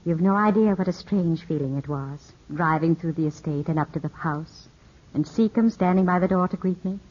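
An actress playing a gracious, gently teasing widow in a 1950s radio drama, speaking in a composed, courteous way. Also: female voice, on the low side, moderate pace, close to an everyday voice. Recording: muffled 1950s radio recording.

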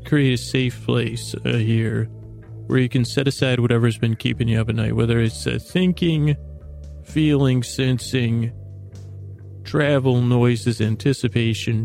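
A middle-aged man hosting a bedtime podcast in a soothing, friendly, lulling tone, rambling and halting with a gravelly, creaky voice. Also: mid-range voice, mellow. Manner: meandering, halting, repeats and trails off. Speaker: podcast host. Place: small closet full of clothes, indoors.

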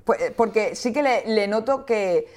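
A woman's voice: fairly high pitch, expressive, moderate pace, a little mellow. Andalusian-accented Spanish woman, chatty. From Barcelona, Spain. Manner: friendly, laughs easily. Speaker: guest YouTuber. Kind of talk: casual podcast chat.

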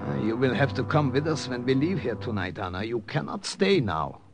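An earnest European professor working with the underground, played by a man in an old radio thriller. The voice is gravelly and very expressive. The delivery is careful and grave, over faint music. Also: mid-range voice, a little fast, lightly acted. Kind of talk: old-time radio drama acting.

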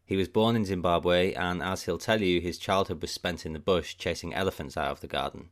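A man hosting a podcast, reading a scripted introduction in a measured, even way. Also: sits low. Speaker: podcast host.

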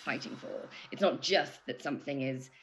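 A woman's voice: a bass voice, normal speed, fairly bright tone, a little hiss or hum. A woman in a reflective, unscripted discussion as a guest analyst, feeling her way through intellectual phrasing with hesitations. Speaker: interview guest giving political analysis. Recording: music playing behind the voice.

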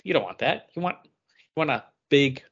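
A man's voice: mid-range voice, animated, brisk, fairly bright tone, husky, highs cut off. A man co-hosting a podcast in casual chat, rambling and often repeating himself as he goes. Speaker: podcast co-host. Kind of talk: casual comic book chat.

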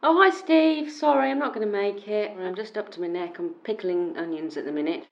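An apologetic, busy friend played by a woman leaves a phone message in an advert sketch. The voice is creaky, heard through a dull filter with clear noise. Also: mid-range voice, fairly quick.

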